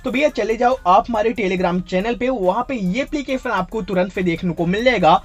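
A man's voice: high-pitched, normal speed. A man presents a tech tutorial as the host of his own channel. He talks in a casual, explanatory voice-over style. English words and phrases are mixed freely into his sentences. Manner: mixes English phrases into speech.

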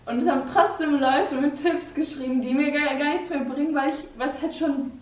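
A young woman vlogging with a cold, chatty and animated, often laughing. Her voice sits a little high and comes from a few steps off the camera. The bare, freshly painted room gives it a clear echo. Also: a little fast, distant mic. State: has a cold. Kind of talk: casual vlog chat. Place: bright empty room in a house, indoors. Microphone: camera mic from a few steps away. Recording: echoey bare room, off-mic.

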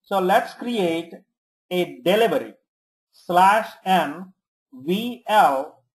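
A software trainer giving step-by-step instructions in short commands, checking in often during a screen tutorial. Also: mid-range voice, expressive, drawn-out words, low-quality recording. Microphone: screen-recording voice-over.

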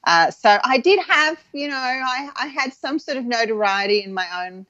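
A woman in her forties from Adelaide, with a soft Australian accent that leans English, reminiscing frankly as an interview guest with many ums and restarts. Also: high voice, lively intonation, normal speed, dry recording, highs cut off. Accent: soft Australian with an English tinge.

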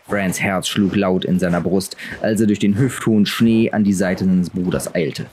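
A man's voice: on the low side, darkish tone, slight background noise. A man reading a fantasy novel aloud as a dramatic audiobook narrator.

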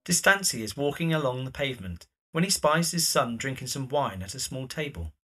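A man narrates an audio drama's scenes from a script. His bright voice sits at a middling pitch and swoops expressively through each stage direction. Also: lively intonation, fairly quick, breathy edge, faint music behind.

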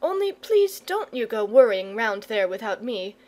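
A woman voicing a quiet, self-assured, bookish young boy who speaks matter-of-factly in a storybook. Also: a little high, sing-song. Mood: calm confidence. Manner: quiet, unhurried, matter-of-fact.

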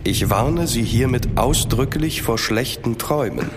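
An actor playing an older French marquis, a courtly, grave and measured storyteller in a German audio drama. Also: male voice, medium pitch, animated, noisy background. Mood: ominous, reminiscing.

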